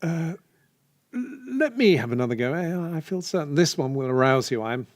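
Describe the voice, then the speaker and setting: A man's voice: on the high side, animated, normal speed, no room sound, studio quality, close to an everyday voice. An actor playing a courteous, chatty male escort with a plummy British voice, droll and theatrical, in a comedy drama. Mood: courteous, eager. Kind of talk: comedy audio drama dialogue.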